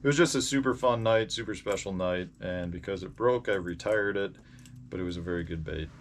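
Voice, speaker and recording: Low-voiced American man, noisy recording. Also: fairly quick. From Indiana, United States. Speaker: host of a fishing channel. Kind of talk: casual vlog storytelling about fishing gear. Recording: clear background noise.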